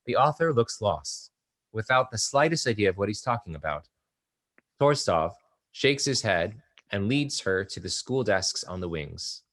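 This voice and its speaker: A man reading stage directions aloud at a play table read, plain and steady. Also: lowish voice.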